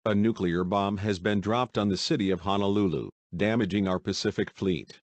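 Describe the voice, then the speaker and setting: A man playing a solemn head of state giving a formal, deliberate emergency address to the nation. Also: lowish voice, dry recording, close to an everyday voice. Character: solemn male US President addressing the nation in crisis.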